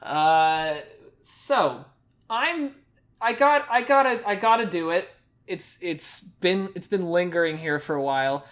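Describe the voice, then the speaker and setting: A man co-hosting a podcast in casual chat, rambling along with stammered restarts and quick laughs. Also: high-pitched, expressive, fairly bright tone. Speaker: podcast co-host.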